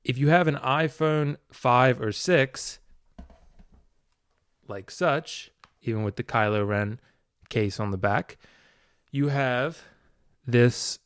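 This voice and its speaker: Clear-voiced man giving a casual walkthrough. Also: medium pitch, slow, pauses often, no echo, clean studio sound. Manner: informal, rambling, talking through a demonstration. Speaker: video tutorial host, hobbyist. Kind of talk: casual unscripted product walkthrough.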